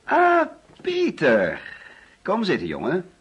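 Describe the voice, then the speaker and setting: An actor playing an overbearing, jovial middle-aged father in a Dutch radio play, theatrical and teasing, with deliberate pauses. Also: high-pitched, wildly swooping pitch, breathy edge, dull audio, exaggerated voice. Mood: jovial, fussing. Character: overbearing, jovial father hosting an uneasy birthday party.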